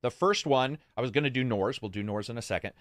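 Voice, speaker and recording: A man's voice: medium pitch, fast, no echo. Casual male podcast host, close dry sound. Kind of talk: casual unscripted chat.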